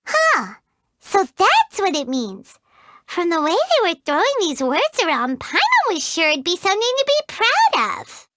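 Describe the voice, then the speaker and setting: A woman voicing a tiny, excitable fairy companion in a video game who talks about herself in the third person. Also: measured pace, no echo, studio quality, full character voice. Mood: chirpy, excitable. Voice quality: high squeaky childlike voice. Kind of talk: video game character dialogue.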